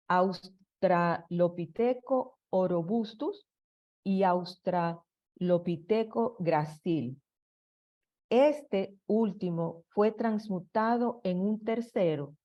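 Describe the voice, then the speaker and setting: A woman's voice: medium pitch, dark tone, muffled recording. A woman reading a prepared history presentation aloud, stumbling and restarting on long technical words. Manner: apologetic about her Spanish.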